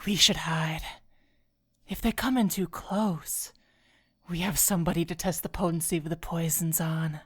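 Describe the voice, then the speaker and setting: A woman's voice: deep voice, steady tone, normal speed, husky, no room sound. A woman playing a callous, matter-of-fact poisoner in a fantasy audio drama. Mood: cold, scheming.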